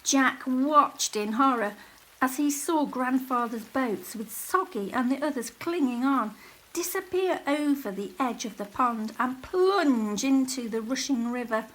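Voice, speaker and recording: A woman reading a children's picture book aloud as a teacher, in a measured, expressive storytelling manner. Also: sits high, rough recording. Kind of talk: storybook read-aloud, scripted narration.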